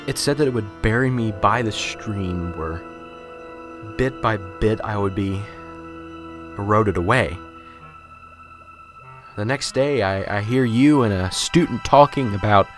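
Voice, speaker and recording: A man's voice: on the low side, a little slow, long pauses, lightly acted. A man playing a nervous, unsure professor in a radio drama, stammering and hesitant. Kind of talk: amateur radio drama acting. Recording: radio drama with music underneath.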